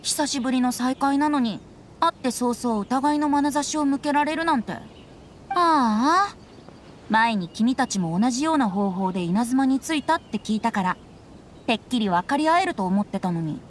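A man's voice: very high voice, animated, moderate pace, a little hiss or hum. A man voicing a playful, carefree young bard in a Japanese game, with a breezy, teasing, casual delivery. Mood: sheepish, playful.

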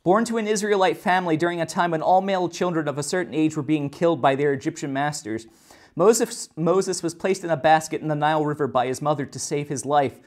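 Earnest man teaching a kids' Bible lesson. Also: on the high side. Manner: earnest, explanatory, with occasional restarts. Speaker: children's ministry teacher. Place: indoor church room.

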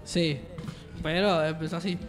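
A man's voice: on the high side, steady tone, measured pace. A man with an Argentine accent, co-hosting a radio show and chiming in with dry remarks. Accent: Argentine Spanish. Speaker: radio co-host. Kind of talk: casual studio banter. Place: radio studio. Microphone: studio mic with headphones. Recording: studio with loud music behind.

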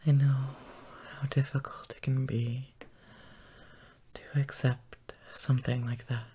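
A man in an intimate boyfriend roleplay, half-whispering tenderly and with gentle dominance, with soft hums, close to the microphone. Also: mid-range voice, flat delivery, a little slow, slight background noise. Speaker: audio roleplay performer.

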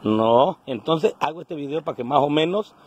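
A man in his forties with a coastal Mexican accent from Guerrero, vlogging on a handheld phone. His voice sits a bit high for a man and is quite rough and husky. He talks in an earthy, colloquial way, like someone addressing his people back home. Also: lively intonation, moderate pace. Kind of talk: casual selfie vlog monologue to camera. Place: outdoors on a roadside, starting indoors in a house. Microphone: phone at arm's length.

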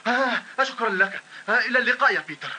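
A man voicing a timid, grateful village postman in a dubbed cartoon, talking fast and nervously. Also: very high voice, animated, sharp tone, slightly rough, full character voice.

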